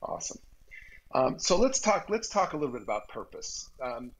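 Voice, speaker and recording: Man hosting a live interview, measured phrasing. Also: medium pitch, sing-song, fairly bright tone, breathy edge, rough recording. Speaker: host introducing and interviewing a guest. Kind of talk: live audio event hosting, semi-prepared remarks.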